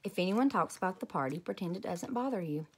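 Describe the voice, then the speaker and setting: A woman voicing a firm, patient mother in a storybook, measured and admonishing. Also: fairly low pitch, animated, a little hiss or hum. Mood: reassuring.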